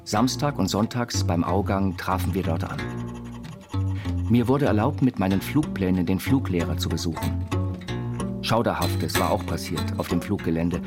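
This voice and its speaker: An actor playing an eccentric self-taught inventor, narrating his life story in measured, quaintly formal phrases for an audio biopic. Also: deep voice, close to an everyday voice. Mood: sober recollection. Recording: studio voice over loud music.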